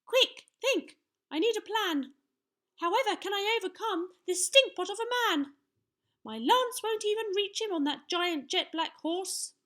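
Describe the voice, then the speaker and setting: Woman as a high-pitched, plucky little boy knight. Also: measured pace, hardly any pauses, exaggerated voice. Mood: panicked. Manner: high, excitable, declaiming. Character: plucky six-year-old boy knight, brave and eager. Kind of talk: children's storybook reading.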